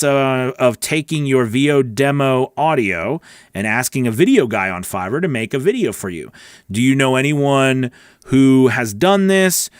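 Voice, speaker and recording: A middle-aged American man with a mid-pitched voice talks casually as a livestream host. He is chatty and informal, thinking aloud while he reads and answers questions. The sound is close and dry, from a studio microphone in a small home studio. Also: no echo. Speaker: host, voice-over coach. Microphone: large studio microphone on a boom arm, close.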